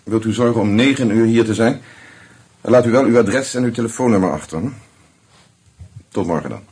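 An actor playing a methodical, polite but dry police commissioner in a radio play, speaking with measured, formal authority. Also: male voice, fairly low pitch, a little mellow, husky. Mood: measured, probing. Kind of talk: Dutch radio drama acting.

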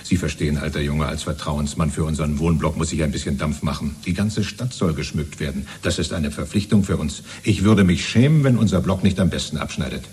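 An actor playing a hearty, gullible party-loyal neighbour in a 1970s radio drama, chummy and blustering. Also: male voice, rumbling low, brisk, hardly any pauses, a little mellow, a little hiss or hum. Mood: hearty, pushy. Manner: chummy, blustering, repeatedly matey.

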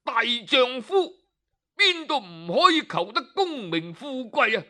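A man voicing an enraged, humiliated young male scholar who stammers and shouts in fury. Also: extremely high pitch, very animated, a little slow, voice pushed up, exaggerated voice. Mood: furious.

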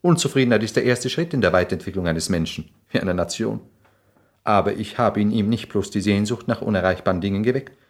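A male actor playing a cynical upper-class lord in a radio play, with polished, measured, condescending delivery. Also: fairly low pitch, animated. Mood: cold, self-assured. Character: suave, cynical upper-class English lord, cool and self-assured. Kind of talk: 1960s German radio play acting.

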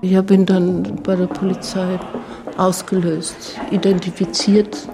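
A woman's voice: fairly low pitch, little pitch movement, unhurried, over music. An older woman recalling events she witnessed, in the style of a personal interview. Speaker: interviewed eyewitness. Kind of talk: personal recollection.

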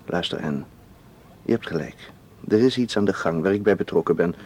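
Low, mellow, gently insistent man. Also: moderate pace, breathy edge. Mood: earnest. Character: devoted, persuasive male suitor with secrets. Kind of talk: Dutch radio play acting.

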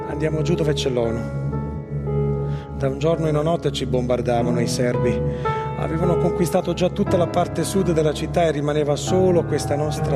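An actress as an anguished war survivor over music. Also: female voice, low voice, natural voice. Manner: anguished, halting, repeating phrases. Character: grieving traumatized Bosnian woman, war survivor. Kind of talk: dramatic theatre monologue.

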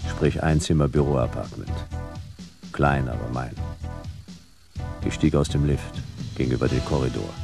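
A hardboiled male private eye with an extremely deep, creaky voice. He narrates tersely and drily, world-weary, in noir radio drama style over music. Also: heavily acted. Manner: terse, dry, deadpan.

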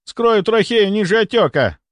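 A man's voice: high voice, expressive, moderate pace, no room sound, voice pushed up. A man voicing an agitated male doctor who pleads urgently and insistently in an audiobook story. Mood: desperate. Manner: urgent, wailing.

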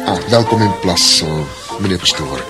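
Loud music surrounds a genial provincial gentleman. His low voice is polite and proud, slightly halting, in radio drama style. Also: expressive. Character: genial, proud provincial husband and salon host.

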